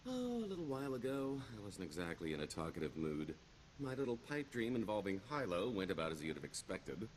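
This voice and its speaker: An actor playing a roguish, glib smuggler and con artist in a video game, with a wry, theatrical delivery. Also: male voice, lowish voice, huge pitch swings, a little fast, a little hiss or hum, low-quality recording. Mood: wistful, resigned. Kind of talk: video game character dialogue.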